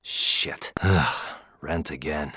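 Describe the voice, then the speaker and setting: A man's voice: very deep voice, very slow, pushed and bright, slightly rough, no room sound, clean studio sound, exaggerated voice. An American man playing a wry, streetwise private detective in a video game, speaking casually and sardonically in short lines and asides. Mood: casual, weary. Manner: casual, sardonic muttering with frequent sighs.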